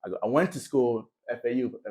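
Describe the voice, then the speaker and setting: Young man guest, quick and gravelly, upbeat. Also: a little mellow. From Nigeria. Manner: upbeat, high-energy, grateful. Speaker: podcast guest, filmmaker. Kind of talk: casual interview chat.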